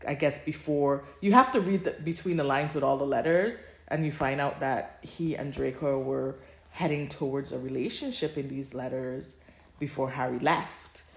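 Low-pitched woman chatting in an echoey room. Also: steady tone, normal speed. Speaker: podcast co-host. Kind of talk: casual chat, recapping fan fiction.

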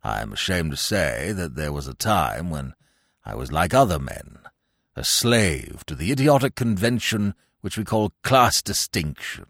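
Man playing a pompous low-voiced old English gentleman. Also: big pitch swings, measured pace, gravelly, no echo, clean studio sound, exaggerated voice. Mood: earnest. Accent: British. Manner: solemn, grandiloquent.

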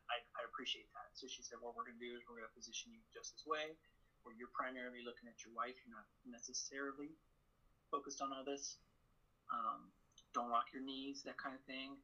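A man, a father and guest on a podcast, talking in an earnest, measured way in casual chat. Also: mid-range voice, steady tone, bright, forceful tone, husky, muffled recording.

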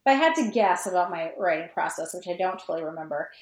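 A woman's voice: lowish voice, expressive, quick pace. A woman podcast guest chatting casually and reflectively about her writing, searching for words and often saying "like". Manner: hesitant, searching for words, many "like"s. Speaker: podcast guest, fan fiction writer. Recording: roomy, echoey sound.

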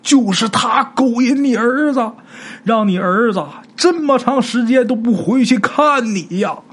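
A man voicing the ghost of a desperate old man who shouts in a very high, stretched-out yell. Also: lively intonation, unhurried, breathy edge, heavily acted. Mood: spiteful.

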